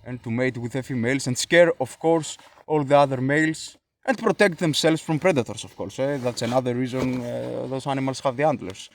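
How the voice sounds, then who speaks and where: A Greek man speaking English as a second language, explaining a philosophy idea in a casual monologue, halting with frequent fillers and restarts. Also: mid-range voice. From Greece.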